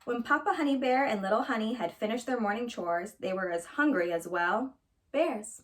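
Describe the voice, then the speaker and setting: A woman reading a children's storybook aloud as a storyteller, in an expressive narrating style. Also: fairly high pitch, normal speed.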